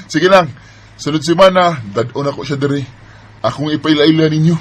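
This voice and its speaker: A man playing an easygoing, teasing young best friend in an expressive Bisaya radio drama style. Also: a little high, normal speed, close to an everyday voice. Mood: amused. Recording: faint background noise.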